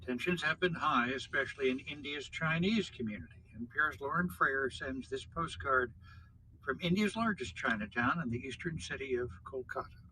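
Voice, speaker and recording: A man anchoring a radio news program, reading a scripted introduction in a measured, formal way. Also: medium pitch, sharp tone, a little hiss or hum, rough recording. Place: news broadcast heard over a car radio.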